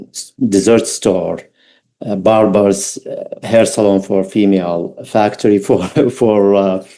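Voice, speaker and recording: Mellow middle-aged man with an Iraqi accent. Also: fairly low pitch, creaky. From Baghdad, Iraq. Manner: halting, reflective, non-native English phrasing. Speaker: podcast guest. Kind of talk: personal storytelling interview.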